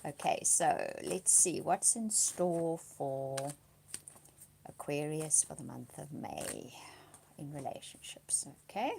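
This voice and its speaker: A woman doing a monthly tarot reading, slightly hoarse from a cold, speaking softly and reassuringly, dropping to a whisper as she reads the cards. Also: deep voice, expressive, slow, slight background noise. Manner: soft-spoken, often drops to a whisper reading cards; reassuring, often checks in with "okay?". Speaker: tarot card reader.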